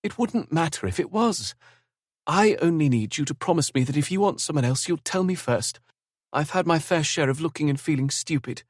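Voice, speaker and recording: A man doing a calm, firm young woman. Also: on the high side, normal speed, no echo, studio quality, pitched above the performer's own voice.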